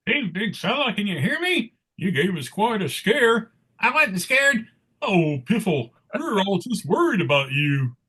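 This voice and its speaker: A small, cheeky comic book elf, voiced by a man. The voice is raised and husky, jumping around in pitch as he grumbles and cracks wise. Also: big pitch swings, a little slow, pushed and bright, full character voice. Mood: concerned. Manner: cheeky, complaining. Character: grumbling wisecracking little male elf.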